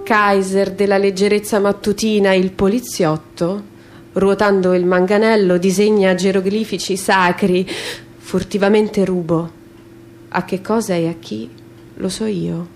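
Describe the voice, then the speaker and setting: A woman giving a theatrical literary recitation in a measured, declamatory way with dramatic pauses. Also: medium pitch, level tone, a little mellow, slight background noise. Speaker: stage reader and performer. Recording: music under the voice. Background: instrumental music.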